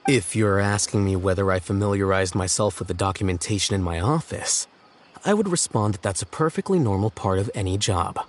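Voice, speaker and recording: An actor playing a stern, blunt young male officer in a video game, speaking calmly and matter-of-factly. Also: lowish voice, fairly quick, close to an everyday voice. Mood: deadpan. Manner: calm, matter-of-fact, deadpan. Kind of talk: game voice acting.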